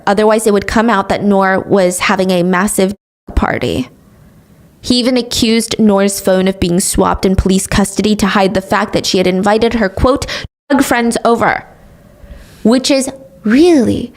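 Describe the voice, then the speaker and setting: A woman hosting a podcast, retelling a true crime case in casual chat with sarcastic asides. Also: medium pitch. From grew up speaking English, also Korean. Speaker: podcast host.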